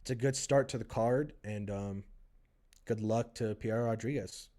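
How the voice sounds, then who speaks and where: A man's voice: on the low side, normal speed, dark tone. A man co-hosting a podcast, giving a casual fight breakdown and chatting with a guest. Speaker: podcast co-host. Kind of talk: casual MMA breakdown and interviewing.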